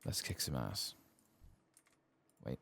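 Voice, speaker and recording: A soft-spoken man with a gravelly, husky voice, giving casual ASMR commentary as he plays a game. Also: slow, a little hiss or hum. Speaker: game streamer. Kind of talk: soft-spoken ASMR gaming commentary, reading quest text aloud.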